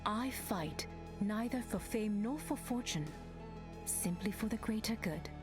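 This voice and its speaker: A solemn, noble fighter , voiced by a woman for a video game. She speaks with formal resolve at a middle pitch, with music clearly behind. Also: animated, unhurried, natural voice. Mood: solemn, resolute. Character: solemn, noble, dignified warrior spirit-slayer. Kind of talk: video game hero voice lines. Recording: game dialogue over loud music.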